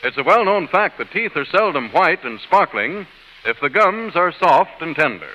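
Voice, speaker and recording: Earnest, piercingly bright radio judge. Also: male voice, fairly high pitch, sing-song, moderate pace. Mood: stern, earnest. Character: stern courtroom judge turned earnest toothpaste pitchman. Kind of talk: 1930s radio advertisement, declamatory. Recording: reverberant 1930s radio broadcast.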